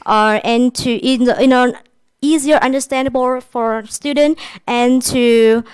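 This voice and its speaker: A young Vietnamese woman with a Vietnamese accent presenting at a workshop in halting non-native English. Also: on the high side, fairly even intonation, clear, resonant tone. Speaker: youth forum presenter.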